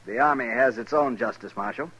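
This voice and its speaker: A mid-pitched, cutting male voice gives us a cold and pompous captain. He speaks formally and with smug authority, in the style of a 1950s radio western. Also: a little fast, bright, forceful tone, slightly rough, highs cut off. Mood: smug, sardonic. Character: cold, smug, rigid veteran Army captain.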